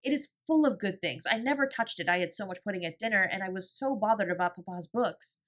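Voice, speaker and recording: A woman's voice: medium pitch, quick pace, a bit bright, natural voice. A woman voicing an eager, generous schoolgirl in an audiobook, gushing emphatically as the words tumble out. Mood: flustered, excited.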